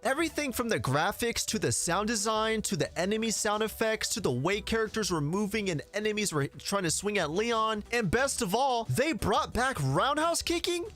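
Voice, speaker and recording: A young man giving excited, informal and emphatic game commentary, often repeating words for emphasis. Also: high voice, moderate pace, hardly any pauses. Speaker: gaming video creator. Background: music under the voice.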